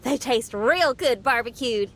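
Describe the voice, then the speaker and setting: A woman voicing a lively, friendly young woman in a video game, upbeat and casual. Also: a little high, unhurried, heavily acted. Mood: upbeat, hospitable. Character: lively, friendly, generous young female camper and cook. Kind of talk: video game character acting.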